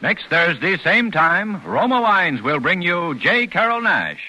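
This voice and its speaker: Animated, bright 1940s radio announcer man. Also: high-pitched, measured pace, poor audio. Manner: polished, emphatic sales delivery. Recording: 1940s radio recording, music behind.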